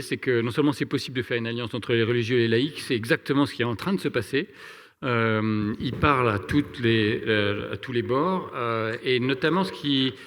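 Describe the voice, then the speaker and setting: Guy interpreting at an event, mid voice, lots of euh and pauses. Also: medium pitch, fairly bright tone. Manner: hesitant, many fillers, summarizing. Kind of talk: live consecutive interpreting.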